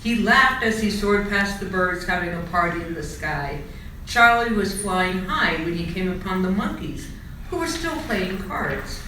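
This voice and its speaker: A woman reading a children's storybook aloud in an expressive, dramatic storytelling style. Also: mid-range voice, unhurried. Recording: echoey room, distant mic, faint noise.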